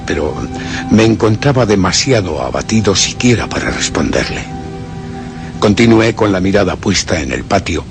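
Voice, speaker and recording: An actor playing a tormented, guilt-ridden gentleman narrating his descent into madness in a horror radio drama, with a brooding literary delivery and a Castilian accent. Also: deep voice. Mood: despairing, unhinged. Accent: Castilian Spanish. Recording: music bed behind.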